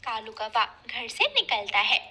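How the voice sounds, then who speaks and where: A woman narrates a cartoon story from a script. Her voice is high and strikingly bright and crisp-edged. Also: very animated, a little slow.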